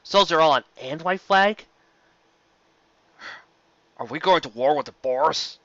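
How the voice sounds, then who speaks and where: A man's voice: a little high, slow pace, a bit bright, no room sound, lo-fi sound, pitched above the performer's own voice. A man voicing a curious male pony corporal who is easily astonished, in an audiobook story. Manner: inquisitive, conversational.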